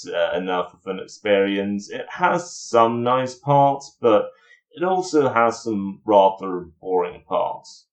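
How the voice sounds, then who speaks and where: Male reviewer, lower register, informal commentary, dryly sarcastic. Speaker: video reviewer.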